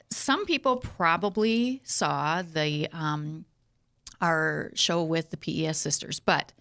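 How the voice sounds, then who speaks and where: A woman's voice: fairly low pitch, fairly bright tone, clean tone. A woman hosting a casual studio interview, friendly and chatty, laughing easily. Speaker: talk show host.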